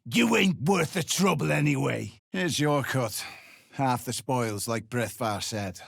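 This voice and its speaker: An actor playing a hard-bitten, threatening duergar dwarf in a video game, gravelly and curt, with coarse slang. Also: male voice, mid-range voice, expressive, slow, fairly bright tone, no room sound, studio quality, full character voice. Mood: grim, surly. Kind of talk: video game character lines.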